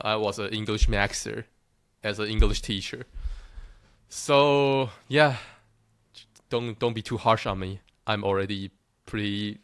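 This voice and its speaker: Taiwanese male creator, low voice, dry close mic, casual and confident. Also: fairly bright tone, clean tone, no room sound. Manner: self-assured, joking, with frequent restarts. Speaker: looksmaxxing content creator. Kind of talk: casual monologue to followers.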